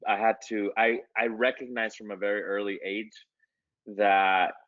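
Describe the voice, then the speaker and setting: A man with a low voice gives loose, conversational interview answers full of fillers and restarts. The sound is muffled and dry, with no room around it. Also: fairly even intonation, normal speed, no room sound. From United States. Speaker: artist.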